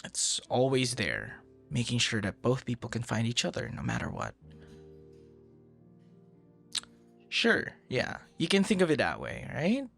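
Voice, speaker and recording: Male actor as friendly flustered young man, very animated pitch, creaky voice, hesitant rambling, intimate ASMR roleplay. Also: normal speed, soft background music, exaggerated voice. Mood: earnest, romantic. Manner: hesitant, rambling, conversational, with soft breathy asides.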